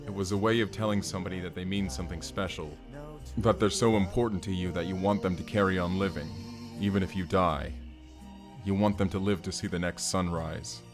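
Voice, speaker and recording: An actor playing a hulking, earnest, gentle barbarian man in an audio roleplay, speaking formally and haltingly. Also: sits low, fairly even intonation, music in the background, close to an everyday voice. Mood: wistful, tender. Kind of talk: first-person audio roleplay acting.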